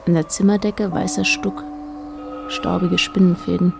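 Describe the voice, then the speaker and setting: A woman narrating a literary radio play in a measured, matter-of-fact way. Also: fairly low pitch, level tone, vocal fry, background noise.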